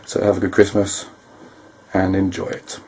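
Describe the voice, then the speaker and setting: Hoarse male, deep and flat, quick joking greeting. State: hoarse, croaky voice. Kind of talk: casual holiday greeting.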